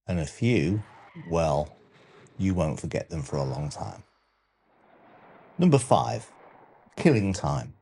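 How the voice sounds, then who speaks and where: A man narrating a scripted countdown documentary with a measured, dramatic delivery. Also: very deep voice, very animated, warm tone, breathy edge. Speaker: narrator and channel host.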